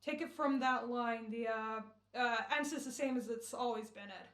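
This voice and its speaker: Impatient slangy show boss for radio play, woman performing, very high voice, brusque, roomy sound. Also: fairly even intonation, moderate pace, a bit bright, lightly acted. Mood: exasperated. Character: bossy, impatient wisecracking male radio director. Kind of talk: old-time American radio acting.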